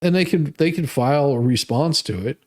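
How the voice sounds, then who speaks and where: A man's voice: a little high, expressive, moderate pace, a little mellow. A man hosting a live stream, reading documents aloud and adding off-the-cuff, sardonic and mocking commentary.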